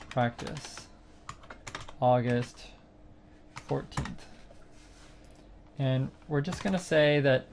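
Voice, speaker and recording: A man narrating a screencast tutorial, explaining a music training website step by step in a plain, unhurried way with occasional fillers. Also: mid-range voice, a little hiss or hum. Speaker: site instructor demonstrating software.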